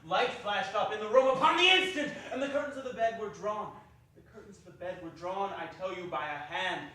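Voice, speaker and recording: A man's voice: high-pitched, fairly quick, sharp tone. A man narrating a staged dramatic reading with dramatic emphasis, heard from far off in an echoey arts hall. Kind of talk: theatrical narration. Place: small arts center stage.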